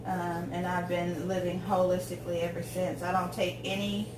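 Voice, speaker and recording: A woman's voice: fairly low pitch, monotone, normal speed, distant mic, background noise. Chatty fortysomething woman cooking in an echoey kitchen. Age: 40s. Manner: rambling, talkative, many ums and tangents. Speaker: home cook vlogger. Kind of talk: casual chat while cooking. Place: home kitchen.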